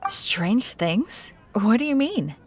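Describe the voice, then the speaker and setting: A woman's voice: fairly high pitch, big pitch swings, takes it slowly, noisy background. A woman voicing a friendly, matter-of-fact shopkeeper in a video game, explaining things plainly. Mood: puzzled, amused. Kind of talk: video game character acting. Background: music.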